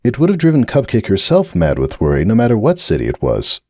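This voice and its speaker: A man narrating a dramatic reading of fan fiction in an expressive storytelling manner. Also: fairly low pitch, fast, dry recording, clean studio sound. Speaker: narrator, reader.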